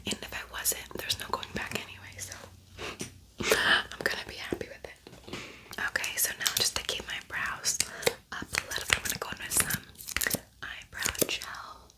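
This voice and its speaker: A woman speaking in a breathy, unvoiced whisper, chatting casually and thinking aloud as she hosts an ASMR makeup tutorial. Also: moderate pace, long pauses, rough recording.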